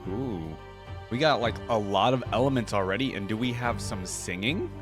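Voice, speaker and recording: A man's voice: lowish voice, lively intonation, moderate pace. A man hosting a reaction video, thinking aloud in casual, informal commentary. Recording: music playing behind the voice.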